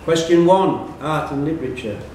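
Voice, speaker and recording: A man's voice: expressive, normal speed, a little mellow. A man acting as quiz reader, reading questions aloud to contestants at a live pub quiz. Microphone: distant room microphone. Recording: echoey room, distant mic, faint rumbling noise.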